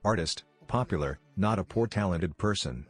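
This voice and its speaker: A man's voice: low-pitched, lively intonation, moderate pace, faint music behind. A man reading scripted political commentary as a voice-over narrator.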